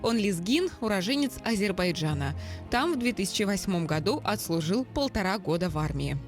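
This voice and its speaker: A woman reporter reading scripted news narration in a measured, solemn voice-over. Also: medium pitch, very animated, soft background music.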